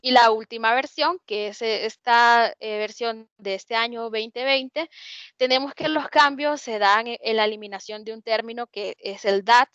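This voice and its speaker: A woman's voice: on the high side, fairly bright tone, clean tone, dry recording. A woman with a Honduran accent giving an online lecture, explaining step by step with frequent hesitations and restarts. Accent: Honduran Spanish. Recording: dull dry lo-fi audio.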